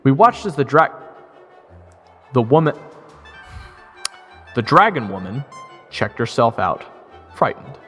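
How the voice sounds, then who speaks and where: A man's voice: medium pitch, big pitch swings, slow, pauses often, sharp tone, soft background music, exaggerated voice. A man playing a wry, sarcastic adventurer who narrates his own fantasy tale in a conversational way. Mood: wry, amazed. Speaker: narrator and protagonist. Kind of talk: first-person dramatic narration.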